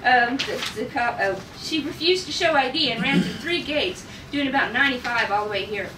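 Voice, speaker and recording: A woman's voice: high-pitched, moderate pace. A woman reading script directions at a table read, with casual, giggly asides. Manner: giggly, informal. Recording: noisy echoey room, distant mic.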